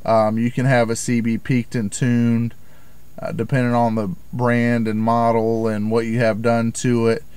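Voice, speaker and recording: A man's voice: mid-range voice, monotone, measured pace, a little mellow, smooth, dry recording. A middle-aged American man giving an informal, plain-spoken explanation in the manner of a hobbyist vlogger. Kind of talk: informal explanatory talk to camera.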